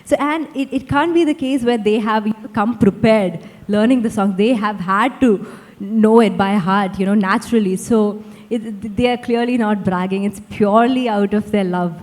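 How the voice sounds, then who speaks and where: A woman's voice: medium pitch, moderate pace, darkish tone. A young woman with an Indian accent, a musician on a panel, talking conversationally and repeating phrases for emphasis. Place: large indoor hall at a summit. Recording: reverberant hall.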